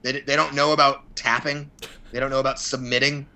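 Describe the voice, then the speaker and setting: A man with a bright, forceful voice talks as a guest in a casual interview. He rambles freely, states his opinions bluntly and lets mild swearing slip in. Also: mid-range voice, little pitch movement, a little fast. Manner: blunt, opinionated, mildly profane. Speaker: podcast guest, fight promoter.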